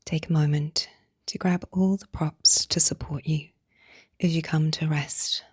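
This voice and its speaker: A woman guiding a yoga nidra meditation, speaking softly and soothingly in a breathy voice with long pauses between phrases. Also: low-pitched, steady tone, no echo, studio quality. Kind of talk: guided meditation narration.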